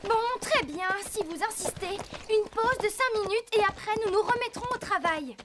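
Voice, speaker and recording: A woman voicing an earnest, bossy young girl rider in a French-dubbed children's cartoon, brisk and commanding as she urges others on. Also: very high voice, expressive, noisy background. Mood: insistent.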